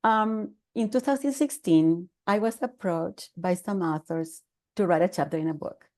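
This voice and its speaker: Older Salvadoran woman with a mellow voice. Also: lowish voice. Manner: measured, earnest storytelling with fillers. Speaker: podcast guest, author. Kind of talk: conversational interview.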